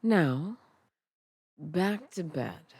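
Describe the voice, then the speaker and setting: An actress playing a cold, commanding officer of an empire in a dramatized audiobook, speaking with deliberate menace. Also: female voice, fairly low pitch, expressive, a little mellow, breathy edge, heavily acted. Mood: cold threat.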